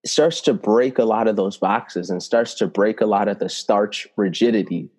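A man whose first language is Italian, telling personal spiritual stories in an earnest, reflective way, slowing down to stress key words. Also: on the low side, a little mellow, big-room sound. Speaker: spiritual teacher and healer.

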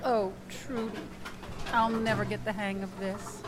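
A woman playing a touchy, quick-witted novice waitress in a comic radio play, with an indignant, sarcastic way of talking. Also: medium pitch, level tone, natural voice. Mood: weary. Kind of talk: comic radio theatre acting. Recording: restaurant crowd noise behind.

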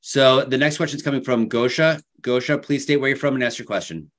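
A man's voice: mid-range voice, steady tone, brisk, fairly bright tone. A man moderating a question session, easygoing and reassuring. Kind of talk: casual moderating.

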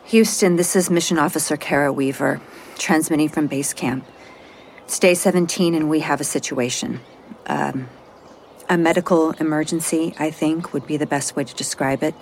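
A woman's voice: sits low, normal speed, a little hiss or hum. An actress playing an anxious, flustered botanist on a space mission, hesitant and self-doubting as she gives a report in an audio drama. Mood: anxious, unsure. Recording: moody music score underneath.

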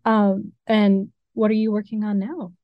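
A woman's voice: mid-range voice, flat delivery, unhurried, no echo. A woman hosting a casual interview in an informal, approving way, heard in muffled sound. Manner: brief approving responses, informal. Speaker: interview host.

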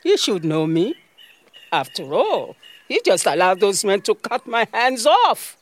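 A woman's voice: medium pitch, big pitch swings, unhurried. A woman playing an ancient talking olive tree in an audio drama, a stern, motherly spirit with a Nigerian accent and a grand, reproachful way of talking.

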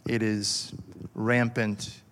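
A man in his forties preaching a passionate sermon, halting with fillers and restarts as he builds his point. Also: on the low side, flat delivery, a bit bright, slight background noise. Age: 40s. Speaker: pastor.

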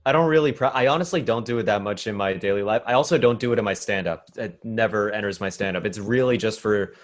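A man's voice: on the low side, fast talker. A man in his twenties from New York City, a stand-up comedian being interviewed, talking in a chatty, rambling way full of fillers. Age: 20s. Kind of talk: casual conversational interview.

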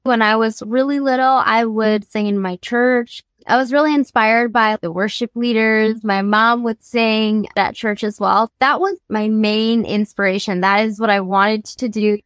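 A woman's voice: a little high, fairly even intonation, a little slow, few pauses, fairly bright tone, no echo, clean studio sound. A young woman from the United States, a singer-songwriter guest, telling her life story candidly and earnestly, laughing easily as she talks.

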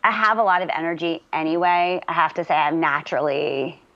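A woman with a low, deep speaking voice talks about her work as a voice actor in a casual interview. She is energetic and talkative and fills her speech with "um" and "you know." The recording sounds a little dull and muffled. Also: a little fast. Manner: lively and talkative, with many fillers.

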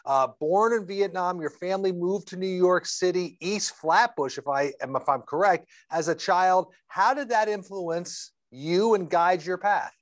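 A man's voice: high voice, measured pace, few pauses. A man hosting a podcast, reading a scripted intro and then asking friendly questions. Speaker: podcast host. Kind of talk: podcast interview.

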